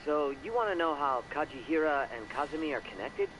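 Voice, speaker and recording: A man's voice: on the high side, very animated, a little fast, background noise. An actor playing a casual, obliging male informant in a game, speaking in an offhand way.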